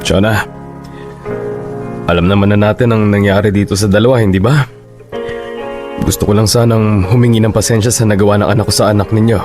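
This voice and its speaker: A Filipino father acted by a man keeps the peace in a radio drama. His voice is low and measured, apologetic in manner, with music clearly playing behind. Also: steady tone, close to an everyday voice. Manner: conciliatory, measured.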